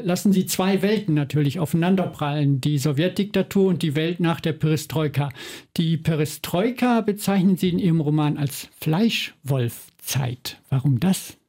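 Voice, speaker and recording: A man interviewing a writer in a radio studio, asking measured questions with frequent hesitations. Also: on the high side, warm tone.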